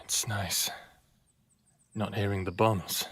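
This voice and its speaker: An actor playing a smartass human man in his late thirties in an intimate audio drama, soft-spoken, flirtatious and playful. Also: lowish voice, little pitch movement, slow pace, slight background noise. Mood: soft, relieved. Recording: faint music under the voice.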